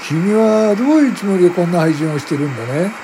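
An angry personnel manager, acted by a man in a radio drama, talks down to an employee. His raised voice is stern and accusatory, with music playing clearly behind it. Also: high-pitched, lively intonation, fast, noisy background, voice pushed up. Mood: stern, accusing. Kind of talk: amateur radio drama acting.

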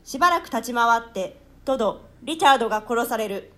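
Female narrator for stage drama: high-pitched, lively intonation, theatrical reading of stage directions. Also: fairly quick. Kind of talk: dramatic scripted narration of a classical play.

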